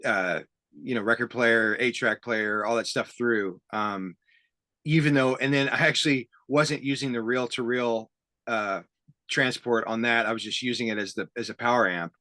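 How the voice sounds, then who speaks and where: A man hosting a casual conversational interview, speaking haltingly with a self-deprecating, enthusiastic manner. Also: mid-range voice, fairly even intonation, pushed and bright, no echo. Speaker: interview host. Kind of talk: casual conversational interview about tape gear.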